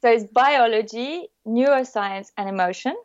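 A woman with a French accent, a guest chatting casually in an interview, warm and often laughing with hesitant fillers. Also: fairly high pitch, normal speed, no room sound, lo-fi sound. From born in the UK, raised in the south of France. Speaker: guest, bio-neuroemotion practitioner.

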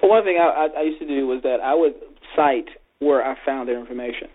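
A man, a recruiter co-hosting a podcast, making measured counterpoints in a debate. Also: medium pitch. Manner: measured, reasoning, counters calmly. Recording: tinny phone line.

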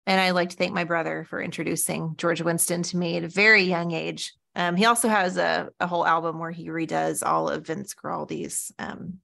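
A woman co-hosting a podcast with a lowish, gravelly voice. She talks softly and easily, with plenty of casual fillers. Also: flat delivery, a little fast, hardly any pauses. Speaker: podcast co-host.

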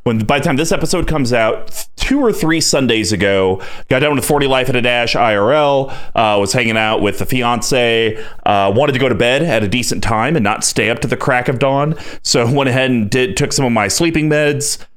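Relaxed, rambling male podcast host. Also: medium pitch, normal speed, few pauses. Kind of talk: casual podcast chat.

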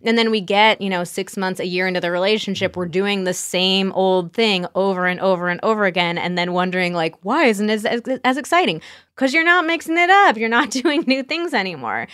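Clear-voiced woman giving candid advice. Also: mid-range voice, expressive, brisk, fairly bright tone, no echo, studio quality. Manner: candid, explains with casual examples. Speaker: sex therapist, podcast guest. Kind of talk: conversational expert advice.

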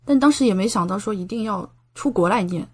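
A woman's voice: mid-range voice, expressive, quick pace, breathy edge. A woman in her thirties from China talking casually as a podcast guest, explaining things in a chatty way with frequent tag questions. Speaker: podcast guest, doctor studying abroad. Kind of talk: casual conversational interview, explaining and recounting.

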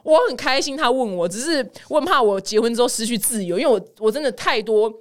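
A woman with a Taiwanese Mandarin accent, chatting casually as a podcast host and telling personal stories in a candid, self-mocking, expressive way. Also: fairly high pitch, fast. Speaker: podcast host of a humorous news show. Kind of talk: casual chatty storytelling to listeners.

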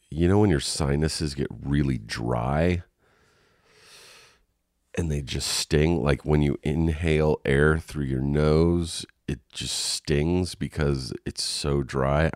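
Congested male podcast host, nasal and gravelly, very low, unhurried rambling delivery. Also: a little mellow, no echo, clean studio sound. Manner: rambling, frank, with frequent ums and restarts. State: congested and coughing. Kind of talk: casual solo podcast monologue. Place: home.

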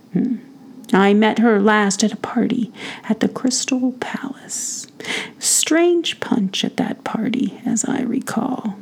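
A woman voicing a big, kindly but formidable male giant king in a storybook, with slow, courtly, musing speech. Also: medium pitch, gravelly, darkish tone, slightly rough, full character voice. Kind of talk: bedtime storybook character voice.

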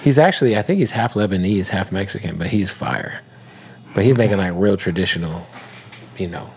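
Animated, teasing male podcast host. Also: low-pitched, a little fast, a little hiss or hum. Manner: playful, teasing, slangy. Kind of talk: casual interview chat.

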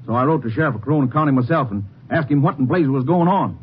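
A man voices an indignant, folksy businessman in a 1950s radio drama, talking quickly and plainly burned up. The sound is muffled and lo-fi, like an old broadcast with a faint hiss underneath. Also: mid-range voice, animated, close to an everyday voice. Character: irate traveling businessman, middle-aged.

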